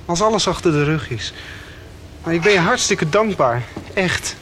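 Radio soap: earnest young man, high-pitched, tender and halting. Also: animated, noisy background. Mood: tender, apologetic. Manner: hesitant, sincere.

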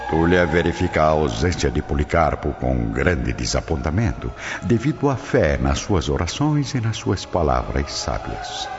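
Very deep, dramatic Brazilian male narrator. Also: big pitch swings, normal speed, few pauses. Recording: dull lo-fi audio with prominent music.

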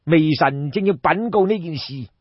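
A man voicing a respectful, smooth-tongued courtier of ancient China in an audiobook, measured and earnest. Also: on the high side, animated. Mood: deferential, earnest. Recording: dull, roomy audiobook recording.

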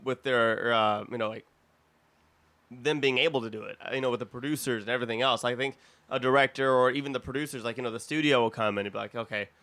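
Guy on a podcast, really bright sharp voice, kinda rambles and stumbles thru his point, casual chat. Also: mid-range voice, steady tone. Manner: halting, rambling, self-interrupting. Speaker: podcast panelist. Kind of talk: casual group chat about films.

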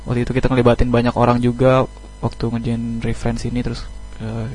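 A man's voice: medium pitch, monotone, quick pace, a little mellow, faint music behind, low-quality recording. A man speaking as an interviewed band member, casual and colloquial, humble and thankful in manner. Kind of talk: casual radio interview chat.

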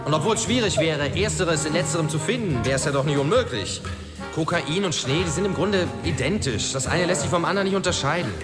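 Man playing a lively high-voiced pedantic gangster. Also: a little fast, loud music behind the voice, natural voice. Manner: argumentative, pedantic. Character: menacing, pedantic, talkative gangster with a gun. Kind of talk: radio drama acting.

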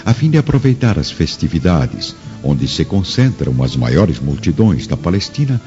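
Deep, dark-voiced male radio drama narrator. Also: sing-song, normal speed. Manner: solemn, theatrical. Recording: muffled audio with faint music.

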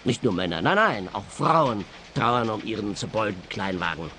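Ernster Schrottplatzchef, leichte Hintergrundgeräusche. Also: male voice, lowish voice, lively intonation, normal speed, bright, forceful tone, slight background noise. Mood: solemn. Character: earnest, matter-of-fact male scrapyard boss. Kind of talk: radio play dialogue.

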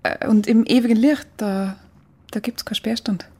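A woman's voice: fairly high pitch, fairly even intonation, normal speed, dark tone, husky. An actress playing a curious, chatty, down-to-earth German woman in a radio drama. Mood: eager, coaxing. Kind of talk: informal radio drama dialogue.

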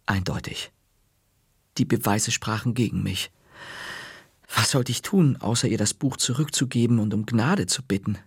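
A man narrating a children's story in an expressive, unhurried way. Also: fairly low pitch, breathy edge, no room sound, studio quality.

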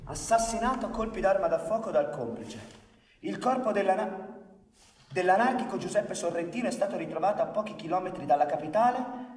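A man's voice: sits high, animated, fairly quick, slight background noise. An actor playing an earnest, agitated anarchist newspaperman in a radio drama, with an emphatic, argumentative delivery. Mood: urgent, dismayed. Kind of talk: radio theatre acting. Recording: echoey reverberant room, distant mic.

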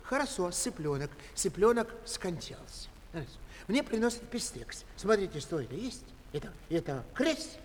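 A man's voice: high voice, big pitch swings, normal speed, few pauses, slight background noise. An actor playing a hungry visiting man from Karelia who speaks broken Russian with a Finnish accent, repeating himself with mounting indignation in a stage comedy sketch. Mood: bewildered, plaintive. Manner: broken grammar, repetitive, plaintive then exasperated. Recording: live stage with long hall reverb.

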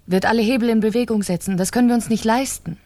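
An actress playing a cold, impatient wife who plots murder, insistent and clipped, in a 1970s crime radio play. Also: female voice, a little high, quick pace, dark tone, no room sound, close to an everyday voice. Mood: insistent, determined.

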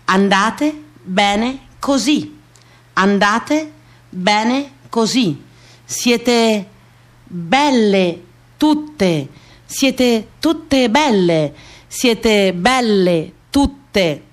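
A woman's voice: medium pitch, animated, very slow. A woman playing a defiant, self-accepting woman in a theatrical radio monologue, speaking in emphatic, repetitive declamation. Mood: reassuring.

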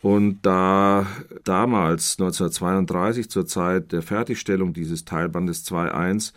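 A man giving explanatory literary commentary in deliberate, halting, formal phrases. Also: sits low, fairly even intonation.

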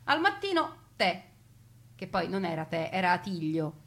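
A woman delivering a theatrical narrated monologue, expressive, with deliberate pauses and emphasis. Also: lowish voice. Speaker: stage storyteller.

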